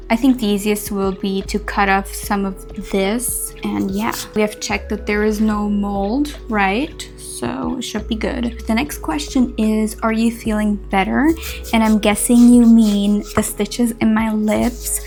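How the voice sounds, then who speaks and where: A young woman from Switzerland, a native Swiss German speaker, chatting earnestly with soft laughs while carving a pumpkin in a Q&A vlog. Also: fairly high pitch. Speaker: content creator.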